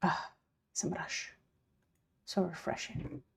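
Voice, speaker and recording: A woman with an Italian accent, streaming as she draws, chatting quietly in murmured asides, her voice slightly croaky today. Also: slow pace. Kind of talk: casual live-stream chat while drawing.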